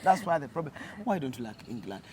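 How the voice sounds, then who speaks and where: A Ugandan man hosts a relaxed chat outdoors. He talks casually, filling gaps with "mm" and "uh" as he goes. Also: medium pitch, fast talker, a little hiss or hum. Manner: chatty, with frequent "mm" and "uh" fillers. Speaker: host. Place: outdoors in nature.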